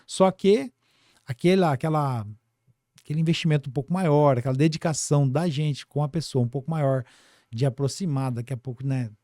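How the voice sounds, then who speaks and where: A man's voice: a little high, normal speed, mellow, no room sound, studio quality. A Brazilian man speaking as a business guest on a casual podcast, colloquial and full of little tag questions. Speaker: podcast guest, financial services business director.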